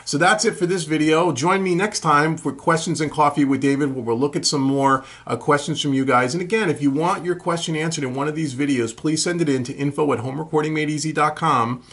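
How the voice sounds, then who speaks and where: A man in his forties with a husky voice hosts a tutorial, answering questions in a casual, conversational, explanatory way. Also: a little high, steady tone, a little fast, few pauses, a bit bright. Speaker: host of a home recording tutorial Q&A show. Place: small furnished room at home, indoors.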